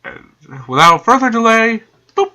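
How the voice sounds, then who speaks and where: A man's voice: very high-pitched, very animated, moderate pace, bright, forceful tone. A man giving casual reaction commentary, chatty and laughing often, sounding stuffed up from a cold.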